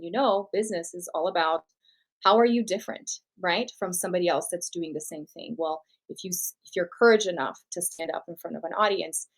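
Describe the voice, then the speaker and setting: A woman from Brazil whose first language is Portuguese, a guest speaker explaining confidently and laughing easily in an interview. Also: mid-range voice. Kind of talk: interview answers.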